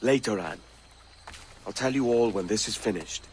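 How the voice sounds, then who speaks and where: An actor playing a rugged, weary and earnest pirate captain in a video game. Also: male voice, on the low side, normal speed, background noise, natural voice. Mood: guarded.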